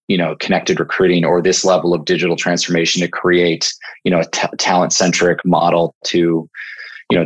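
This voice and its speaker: A man's voice: low voice, slightly rough. A man speaking as an interview guest, explaining things in an informal, conversational way. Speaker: podcast guest, product evangelist in staffing software.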